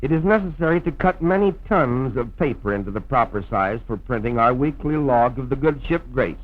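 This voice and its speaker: A man's voice: mid-range voice, expressive. Dull old film-soundtrack American announcer man. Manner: formal, measured announcer's diction. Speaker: radio program host and narrator. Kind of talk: scripted promotional film narration, old-time radio delivery.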